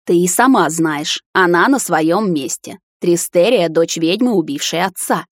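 A woman voicing a young male witch hunter who speaks in clipped, cold lines, with no deepening of her own voice. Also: animated, measured pace, no room sound, clean studio sound.

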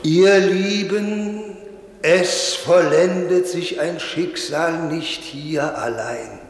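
An actor playing a stern, solemn old priest who preaches in a measured, declamatory way with rhetorical pauses, in the style of 1950s radio drama. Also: male voice, fairly high pitch. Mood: stern questioning. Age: older. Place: large reverberant space. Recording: echoey church-like hall, noisy 1950s radio recording.

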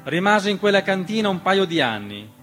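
Guy doing radio theatre storytelling, voice a bit high, measured and dramatic, piano playing behind. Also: big pitch swings, fairly bright tone. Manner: measured, dramatic delivery with deliberate pauses and repeated phrases. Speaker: stage storyteller and narrator. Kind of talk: scripted theatrical narration, radio theatre monologue. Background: piano music.

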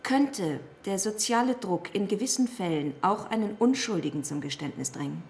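A woman's medium-pitched voice as a ministry official. She is composed and formal. Her delivery is precise and probing, that of someone examining a system she questions. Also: lively intonation, moderate pace, vocal fry, darkish tone, roomy sound, slight background noise. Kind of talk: German radio drama acting.

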